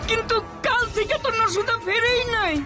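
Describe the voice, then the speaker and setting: Woman as a squeaky, timid cartoon boy. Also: fairly quick. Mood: frightened, worried. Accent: eastern Bengali. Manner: anxious, pleading. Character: timid, anxious young village boy. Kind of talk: Bengali children's cartoon acting. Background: background music.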